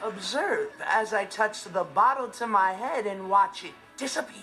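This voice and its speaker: Excitable high-voiced American teen boy. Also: normal speed, low-quality recording. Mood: showy. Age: teenager. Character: earnest, awkward, excitable teenage boy, wannabe magician. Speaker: sitcom lead. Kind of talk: scripted comedic monologue to camera. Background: music.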